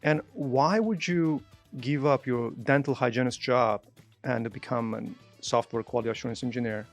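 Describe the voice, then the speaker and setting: A man's voice: mid-range voice, normal speed, dull audio, soft background music. A man hosting a podcast, asking questions in a curious way with non-native grammar. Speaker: podcast host. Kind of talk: casual interview talk.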